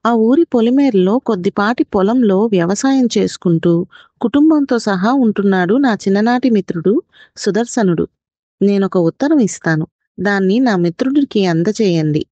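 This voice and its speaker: A kindly old guru from a moral storybook read by a woman. He instructs his pupils in a composed, mellow tone, heard in a dry recording. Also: medium pitch, lively intonation, normal speed, no room sound, highs cut off, natural voice. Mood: composed, instructive.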